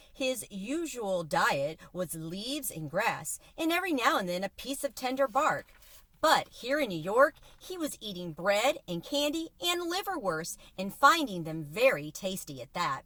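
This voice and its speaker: A woman storyteller reading a children's novel aloud in an expressive, animated way. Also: medium pitch, dry recording.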